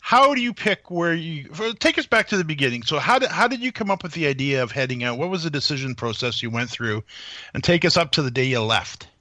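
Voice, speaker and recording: A man's voice: on the high side, big pitch swings, quick pace, sharp tone, no echo. A man hosting a podcast, asking questions in a conversational interview style. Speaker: podcast host.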